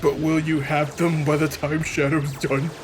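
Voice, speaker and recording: A man's voice: fairly high pitch, little pitch movement, moderate pace. A man giving casual, joking video game commentary as a let's play host. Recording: game music behind the voice.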